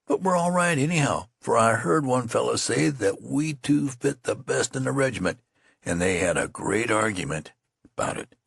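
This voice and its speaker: A sore, grumbling young soldier with a country drawl , put on by a male reader, his voice pushed higher than a gravelly, husky base and leaping around a lot. It sounds dry and close, like a storybook read in a dead room. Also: animated, moderate pace, fairly bright tone, dry recording, exaggerated voice. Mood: aggrieved. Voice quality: rustic folksy dialect.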